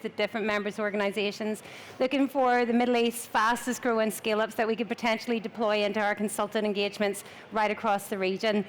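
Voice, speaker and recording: A woman's voice: a little high, slight background noise, low-quality recording. Chatty Irish woman panelist. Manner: chatty, self-deprecating. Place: conference panel stage.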